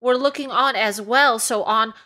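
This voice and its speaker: A woman reading amateur fiction aloud to a comedy panel in a deliberate way. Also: fairly high pitch, sharp tone. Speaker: podcast reader.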